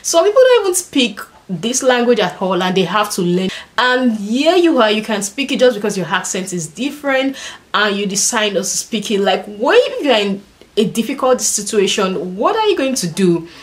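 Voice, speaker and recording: Young nigerian woman chatting to camera in her bedroom, sing-song pitch, says like a lot. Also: moderate pace. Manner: chatty, animated, leans on "like" and "and stuff". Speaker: vlogger. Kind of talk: casual talk to camera. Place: small bedroom, indoors.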